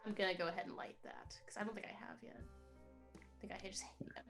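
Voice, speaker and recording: Bright-voiced woman playing a tabletop game. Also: medium pitch, steady tone, fast, vocal fry, soft background music. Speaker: tabletop roleplay player. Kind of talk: improvised roleplay chat.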